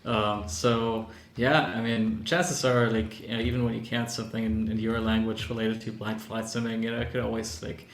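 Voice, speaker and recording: A man who is a native German speaker, talking in a casual online discussion in a halting way, with many restarts and fillers. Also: lowish voice, little pitch movement, reverberant. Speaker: round table panelist.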